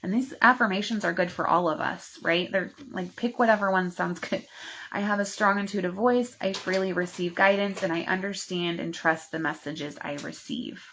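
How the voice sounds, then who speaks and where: A chatty woman coach talks warmly to her viewers on a live stream and wanders between thoughts. Her voice is bright with a gravelly edge. Faint noise sits behind her. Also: fairly low pitch, moderate pace. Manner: chatty, rambling, filler-heavy, affectionate. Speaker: spiritual mindset coach. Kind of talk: live-stream chat with viewers, oracle card reading.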